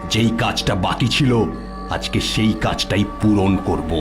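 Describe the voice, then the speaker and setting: A man voicing a cruel, boastful male bandit chief who taunts slowly and laughs menacingly in a cartoon. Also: fairly low pitch, music in the background, close to an everyday voice. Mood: gloating.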